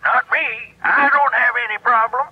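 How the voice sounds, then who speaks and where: A very high-pitched folksy know-it-all, blunt and cocksure in a radio play. His grammar is homespun and his certainty total. The recording is muffled and clearly noisy. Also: fairly even intonation, moderate pace. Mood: cocksure amusement. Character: folksy, cocksure, cackling legal oracle. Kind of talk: radio drama acting.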